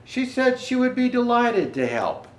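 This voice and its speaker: A man reading a children's storybook aloud as Santa, reading haltingly and pausing for asides to show the pictures. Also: extremely high pitch, very animated, roomy sound, poor audio. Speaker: storyteller presenting as Santa Claus.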